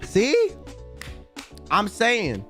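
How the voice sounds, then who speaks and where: A man's voice: sits high, huge pitch swings, extremely slow, fairly bright tone. A young man streaming a casual late-night chat, rambling in slang and reading donations aloud. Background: faint background music.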